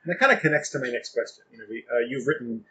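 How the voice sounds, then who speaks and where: A man's voice: mid-range voice, racing, bright, forceful tone, a little hiss or hum. A man interviewing someone in casual chat, thoughtful and discursive as he works toward his points. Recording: dull lo-fi sound.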